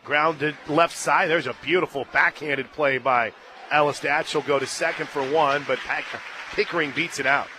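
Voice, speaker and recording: A man calls the game play by play from a stadium radio booth, his voice bright and slightly high. He keeps a brisk, steady patter and lifts into excited shouting when the action breaks. Faint crowd ambience sits under his headset mic. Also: a little hiss or hum. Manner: brisk, surges into excited shouting on big plays. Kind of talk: live sports play-by-play.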